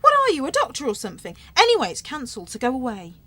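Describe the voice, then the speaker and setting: Brazen airport desk clerk, sly and blunt, highish voice, very animated radio comedy delivery. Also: female voice, rapid-fire, no echo, full character voice. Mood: dismissive. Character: brazen, scheming female airport check-in clerk.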